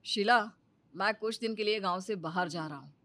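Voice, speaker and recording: A greedy village husband who sells snacks, acted by a woman in a children's moral tale. The voice is mid-pitched for a woman and closely recorded, with chatty, emphatic, persuasive delivery. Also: normal speed, sharp tone, dry recording. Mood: scheming.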